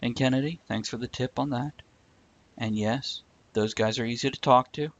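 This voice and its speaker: A man with an average-pitched voice hosts a casual live chat show. He speaks bluntly, shares his opinions freely and curses now and then. The stream audio is a little dull and lo-fi. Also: moderate pace. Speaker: host of a live talk show. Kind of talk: casual chat, answering live viewer comments.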